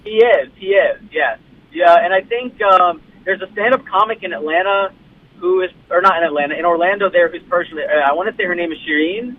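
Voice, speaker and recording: A man's voice: high-pitched, fairly quick. A young man from the United States, a guest on a call-in chat, explaining things politely with a few hesitations. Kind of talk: casual chat. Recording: tinny phone line with faint noise.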